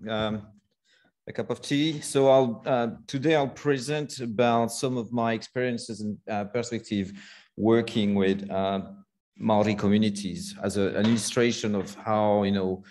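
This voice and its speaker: A man with a French accent presents research at a conference, his voice sitting on the low side. He talks in a friendly, halting way, stopping often on fillers as he finds his words. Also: a little mellow. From France. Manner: hesitant, many fillers, friendly. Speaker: genomics researcher giving a talk. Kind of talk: conference talk. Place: conference room.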